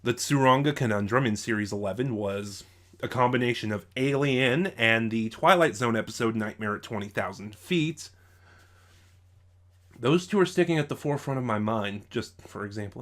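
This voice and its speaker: A man records an unscripted review in a medium-pitched voice. He talks haltingly, as if working out his opinion aloud, and often breaks off to rephrase a sentence midway. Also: fairly bright tone. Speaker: YouTube audio drama reviewer.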